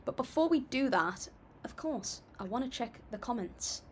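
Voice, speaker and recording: A woman's voice: fairly high pitch, animated, moderate pace, slight background noise. Young woman, livestream host, casual chat, reads comments aloud, friendly and apologetic. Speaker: livestream music reactor.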